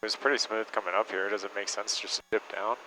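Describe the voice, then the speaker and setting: A man chats quickly and dryly in a bright, forward voice with very little rise or fall. He is a passenger on an aviation headset, with faint engine noise around him. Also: lowish voice, flat delivery, rough recording. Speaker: passenger, pilot's husband. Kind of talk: casual chat. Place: small turboprop cockpit in flight.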